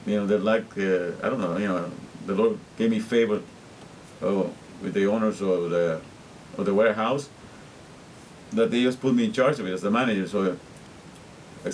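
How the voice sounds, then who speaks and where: Earnest man, low voice. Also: a little hiss or hum, rough recording. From Guatemala. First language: Spanish. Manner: earnest, repetitive, many restarts. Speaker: vlogger. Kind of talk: rambling personal monologue.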